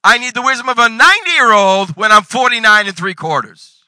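A man in his forties preaching a sermon, reading scripture and breaking into sudden loud bursts. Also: very high voice, expressive, fairly quick, no echo. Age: 40s. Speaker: pastor.

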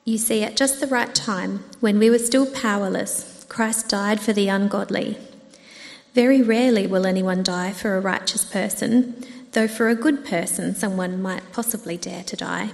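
Female scripture reader, medium pitch, measured delivery, long church reverb. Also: steady tone, creaky. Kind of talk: reading scripture aloud. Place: church hall.

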